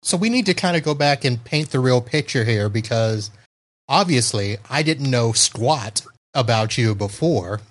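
A man's voice: mid-range voice, expressive, a little slow. Man, podcast host, from Florida, casual chat, joking, laughs a lot, video call.

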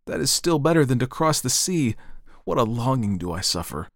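A restless young fir tree longs aloud in a storybook, as a man puts it on. The voice lifts high above his usual tone, full of pleading questions. It sounds dry and close, with a little gravelly edge. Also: big pitch swings, moderate pace, no echo. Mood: yearning. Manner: wistful, pleading, breathless questions.

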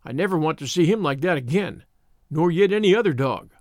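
Rustic storybook shepherd, male reader acting, earnest warnings in country phrasing, animated delivery, dry sound. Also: a little high, fairly quick, no echo, close to an everyday voice. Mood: earnest, troubled. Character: plain-spoken rustic shepherd man, earnest and cautioning.

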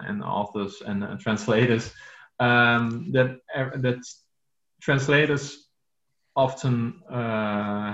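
A man's voice: mid-range voice, pushed and bright. Hesitant Dutch man, roomy muffled sound. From Netherlands. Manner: hesitant, many fillers and restarts. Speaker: author guest. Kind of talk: podcast interview.

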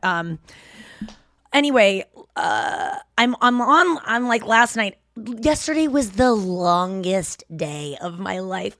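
A woman hosting her podcast in casual, rambling chat, a little hoarse, with lots of fillers and restarts. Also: mid-range voice, fairly bright tone, no echo. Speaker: podcast host.

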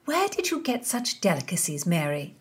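A woman voicing a spirited young maid of honour with a bright, wry manner in an audiobook. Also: lowish voice, huge pitch swings, normal speed, slightly rough, full character voice.

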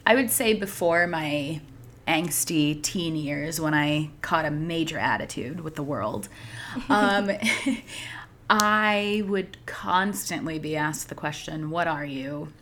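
A woman's voice: low voice, animated, takes it slowly, a bit bright, slight background noise. A young adult woman from outside Philadelphia, co-hosting a podcast and telling candid, emphatic stories in casual chat.

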